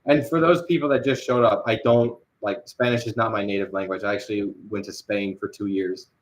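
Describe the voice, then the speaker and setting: Young guy streaming and animating, lowish voice, rambly chat full of ums and restarts. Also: fairly even intonation, brisk, low-quality recording. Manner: rambling, chatty, many fillers and restarts. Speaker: livestreamer. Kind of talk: casual livestream chat.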